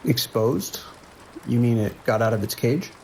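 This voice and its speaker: Man playing a gravelly, no-nonsense police dispatcher. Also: mid-range voice, a little slow, slight background noise. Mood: concerned, probing. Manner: dry, laconic, steady. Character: weary, dry, no-nonsense deputy dispatcher, male. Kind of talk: audio drama phone dialogue.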